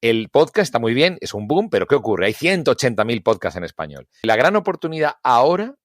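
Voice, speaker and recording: Animated man, very varied pitch. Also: fairly quick, no echo, clean studio sound. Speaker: radio executive. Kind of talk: spoken interview comment.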